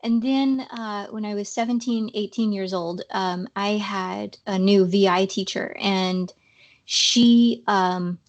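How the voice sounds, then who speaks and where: A woman's voice: medium pitch, takes it slowly, highs cut off. A woman guest on a podcast interview, explaining things casually with a slightly scratchy voice. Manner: explanatory, uses fillers.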